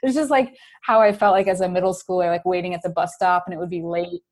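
A woman's voice: lowish voice, steady tone, a little mellow. A young woman with a Wisconsin accent, chatting as a guest on a casual podcast and telling stories with lots of "like". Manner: chatty, quick storytelling with many "like" fillers. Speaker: guest scientist.

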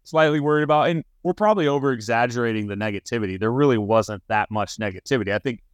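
A man's voice: mid-range voice, fast talker, clear, resonant tone, dry recording. A man hosting a casual sports talk show, conversational and emphatic with his opinions. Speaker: sports podcast host.

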